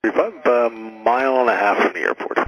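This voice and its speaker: A man working as an air traffic controller, giving halting, procedural instructions over aviation radio. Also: medium pitch, a bit bright, no echo, faint music behind, rough recording. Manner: halting, procedural phrasing with frequent hesitations.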